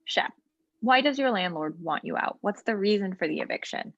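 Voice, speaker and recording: A woman trainer patiently walking a practice client through online software during a role-play interview. She explains each step and often pauses with ums and short asides. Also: mid-range voice, expressive, no echo, dull audio. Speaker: legal aid trainer. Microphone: video call.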